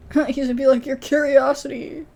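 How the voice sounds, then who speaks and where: A woman's voice: high-pitched, little pitch movement, normal speed, a little mellow. A woman doing casual let's-play commentary, reading game subtitles aloud with playful, apologetic asides. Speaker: gaming streamer.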